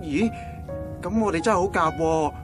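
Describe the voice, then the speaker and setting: A man's voice: a little high, moderate pace, close to an everyday voice. An actor playing a goofy, lovelorn 27-year-old male friend with a Hong Kong Cantonese accent, joking and comforting in a radio drama. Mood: joking, wistful. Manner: joking, animated. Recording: music behind the dialogue.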